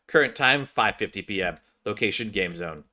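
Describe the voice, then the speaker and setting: A man's voice: lowish voice, lively intonation, normal speed, sharp tone. A man streaming a game, reading story dialogue aloud theatrically and dropping into casual asides. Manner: theatrical, switches between character voices and casual asides.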